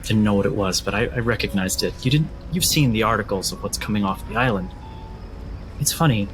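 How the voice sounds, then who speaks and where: A man playing an earnest, fascinated male scholar who speaks in a thoughtful, halting, articulate way. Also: lowish voice, lively intonation, over music, lightly acted, low-quality recording.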